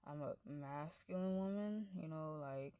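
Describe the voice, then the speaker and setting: A woman with a deep voice, rambling through a casual monologue, padding every phrase with "like" and "you know" while her pitch and loudness wander. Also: a little slow, smooth, no room sound, studio quality.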